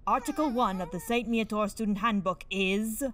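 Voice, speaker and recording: A woman's voice: mid-range voice, normal speed, natural voice. A woman voicing a stern, haughty older head sister who scolds strictly, in an anime fan dub.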